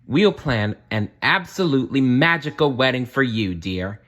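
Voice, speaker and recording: A man's voice: medium pitch, expressive, normal speed, a bit bright, voice pushed up, lightly acted. A man voicing a kindly, motherly fairy godmother from a storybook, gushing and affectionate. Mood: gushing, delighted.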